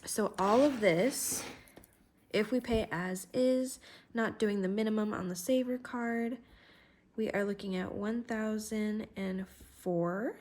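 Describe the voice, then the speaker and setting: A woman with a slightly congested voice from a cold talks casually through her budget as she writes. She goes slowly and thinks aloud over each number, in a friendly, chatty way. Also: mid-range voice, a little mellow. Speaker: vlogger doing her budget. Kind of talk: casual talk-through while writing.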